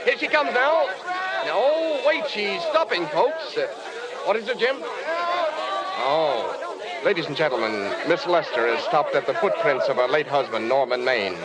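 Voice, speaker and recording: A 1930s radio announcer at a gala premiere. His voice is high and theatrical, delivering live commentary in grand style over a very loud crowd on an old broadcast recording. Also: expressive, a little slow, very noisy. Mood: hushed, concerned. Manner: grand, breathless live commentary turning hushed. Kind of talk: 1930s radio drama acting.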